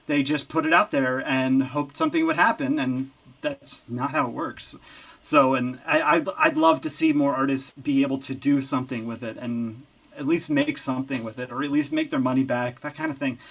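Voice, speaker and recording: A man who works as a recording engineer, chatting as an interview guest in an easygoing, halting way. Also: mid-range voice, fairly even intonation, fairly bright tone. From Lehigh Valley, Pennsylvania. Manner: easygoing, halting, with many fillers and restarts. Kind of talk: casual interview chat.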